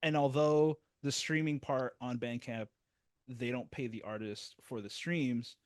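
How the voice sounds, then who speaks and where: A man's voice: medium pitch, fairly even intonation, a little slow, clean tone, no room sound. Male music review host, casual chat, rambling and self-deprecating, dry close mic.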